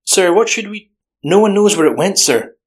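A man's voice: high-pitched, animated, moderate pace, voice pushed up. A man voicing a nervous, deferential younger male subordinate in a noir audio drama. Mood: alarmed. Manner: alarmed, deferential.

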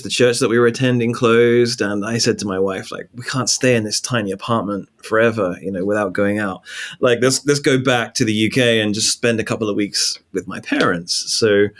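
A man in his forties from England with an English accent, a pastor telling his story in a relaxed interview over a call. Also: fairly low pitch. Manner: relaxed storytelling, frequent ums, light laughs. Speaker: pastor, guest. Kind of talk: casual interview talk. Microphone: video call.

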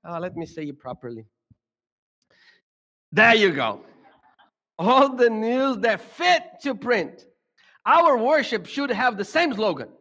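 A man's voice: sits high, big pitch swings, takes it slowly, long pauses, pushed and bright. A middle-aged man from Brazil with a Brazilian Portuguese accent, giving a reflective talk to a congregation and often stumbling over repeated words and restarts. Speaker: speaker at a church gathering. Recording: long hall reverb.

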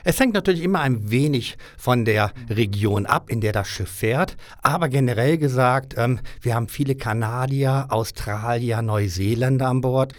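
Männliche Gaststimme, trocken im Studio. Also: male voice, medium pitch, no echo. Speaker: cruise line business development director. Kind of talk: interview answers. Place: audio studio.

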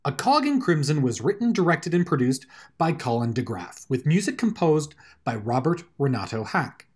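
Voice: medium pitch, big pitch swings, normal speed, darkish tone, husky. An audio drama host delivering a scripted outro with credits, addressing listeners directly.

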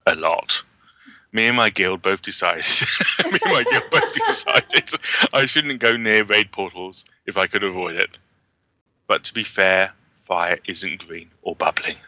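A man's voice: sits low, expressive, unhurried, sharp tone, slight background noise. A man co-hosting a gaming podcast, reading listener emails aloud in a chatty, self-deprecating way. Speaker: podcast co-host.

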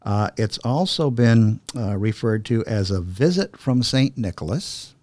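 A man with a fairly low voice speaks as a podcast host, recorded close and dry. His delivery is chatty and explanatory, with a halting flow. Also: expressive, darkish tone, no echo. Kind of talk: casual podcast talk and poem reading.

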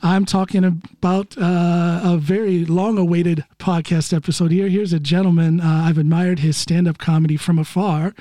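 Man, podcast host, high voice, dry close sound, chatty, laughs a lot. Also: little pitch movement, moderate pace, hardly any pauses, mellow, dry recording. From United States. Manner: chatty, laughs often, self-deprecating. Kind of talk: casual conversational interview.

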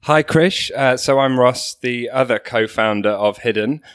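A man's voice: mid-range voice, measured pace, fairly bright tone, smooth. A man from Manchester, a podcast guest, telling his story in a light, joking and self-deprecating way. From Manchester, England. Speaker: guest, company co-founder. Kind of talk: podcast interview.

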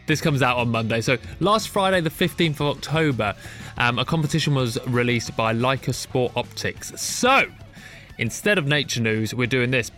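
A man's voice: medium pitch, pushed and bright. A young British man hosting a nature podcast in a chatty, self-mocking way, laughing at his own slips. He sounds bunged up from a cold. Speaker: podcast host. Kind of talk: casual chat.

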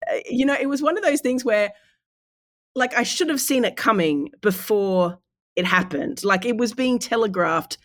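A woman with a head cold that makes her husky, telling stories animatedly and laughing often in a podcast chat. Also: a little high, lively intonation, moderate pace, no room sound, studio quality. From Australia. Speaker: podcast guest.

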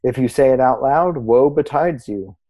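Earnest local radio man played by an actor, soft mellow tone, formal delivery, deadpan comedy presenting. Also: medium pitch, moderate pace, close to an everyday voice. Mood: explanatory. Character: earnest, measured small-town arts radio co-host. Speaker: radio co-host. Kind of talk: scripted mock-serious radio presenting.

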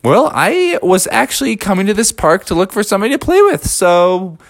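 Dude doing a happy eager grizzly bear, voice pushed way up, bouncy and friendly. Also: male voice, high voice, big pitch swings, a little fast, pitched above the performer's own voice. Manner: eager, raised and chipper.

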